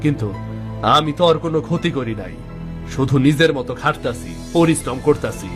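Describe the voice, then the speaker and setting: Gentle cartoon village innkeeper, played by a man, earnest, higher-pitched, music underneath. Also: brisk, natural voice. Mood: earnest calm. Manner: earnest, plain-spoken. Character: honest, gentle, hardworking village hotel owner. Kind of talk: cartoon story acting.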